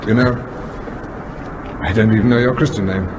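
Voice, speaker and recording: An actor playing a grave submarine commander in a radio drama, questioning with measured authority. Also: male voice, lowish voice, steady tone, close to an everyday voice. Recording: radio drama with clear background noise.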